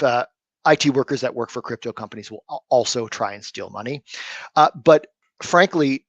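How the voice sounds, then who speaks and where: A man talks through his topic on a panel in an easy, conversational manner. His voice sits at a middle pitch, and the sound is dry and close with no room around it. He drops in frequent fillers and restarts as he goes. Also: dry recording. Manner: loose and conversational, many fillers. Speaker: threat intelligence researcher, panelist. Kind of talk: casual panel discussion.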